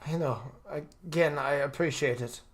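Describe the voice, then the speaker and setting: Story role, read by a man: mournful young heir, sullen and argumentative, fairly high male voice. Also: measured pace, lightly acted. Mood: weary. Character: grieving young upper-class heir, tearful and stubborn.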